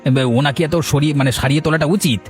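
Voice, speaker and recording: A man playing a kind-hearted village family man in a cartoon, speaking earnestly and a little haltingly. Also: fairly high pitch, soft background music, natural voice. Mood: urgent persuasion. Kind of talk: amateur cartoon voice acting.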